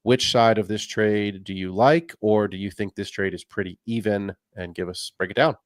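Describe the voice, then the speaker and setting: Chatty low-voiced podcast host, dry sound. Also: male voice, fairly even intonation, moderate pace, no room sound. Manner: chatty, rambling, friendly. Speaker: fantasy football podcast host. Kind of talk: casual podcast talk.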